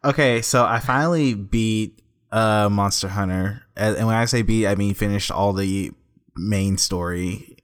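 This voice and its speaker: A man's voice: fairly low pitch, unhurried. A man guesting on a casual podcast, talking games in a rambling, stop-start way.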